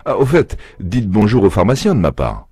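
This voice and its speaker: A man's voice: on the low side, huge pitch swings, a little mellow, faint music behind. A man playing a reassuring doctor who explains patiently in an educational health cartoon. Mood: explanatory. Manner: measured, explanatory. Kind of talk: educational cartoon narration and dialogue.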